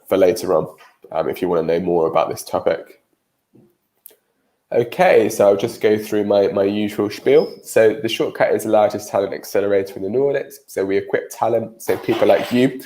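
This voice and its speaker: A man's voice: fairly low pitch, dark tone. A British man with a cold and a nasal voice, giving a live-streamed career webinar presentation with frequent ums.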